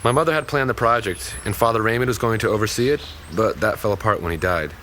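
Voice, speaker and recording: Gallant young male lead, acted by a man: lowish voice, earnest, thoughtful, courtly audio drama delivery. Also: fast talker, sharp tone, slight background noise. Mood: earnest, reflective.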